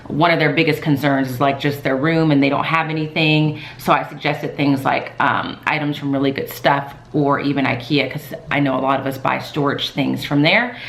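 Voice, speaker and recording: A woman in her thirties, a teacher, chatting casually in a calm, soft-spoken way with many fillers. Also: very deep voice, moderate pace, few pauses, slight background noise. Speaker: teacher vlogger. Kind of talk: casual vlog talk. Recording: echoey room.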